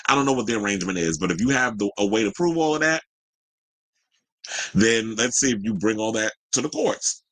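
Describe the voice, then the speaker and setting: A man's voice: on the low side, lively intonation, a little fast, fairly bright tone, no echo, rough recording. A man hosting casual gossip commentary, chatty and candid, with a sassy, colloquial way of talking to his audience. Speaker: host of a commentary show.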